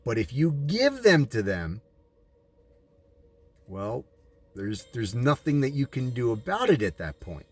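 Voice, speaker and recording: A man's voice: mid-range voice, big pitch swings, unhurried, long pauses, slightly rough. An American man reading aloud and adding his own commentary in an emphatic, preachy manner, like a sermon. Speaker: audiobook reader and commentator.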